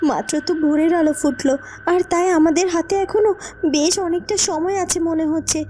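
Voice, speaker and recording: A woman's voice: very high-pitched, brisk, warm tone, a little hiss or hum. A woman playing a brave, reassuring young heroine in a cartoon, earnest and encouraging. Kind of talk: Bengali cartoon voice acting. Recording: faint music behind. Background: faint background music.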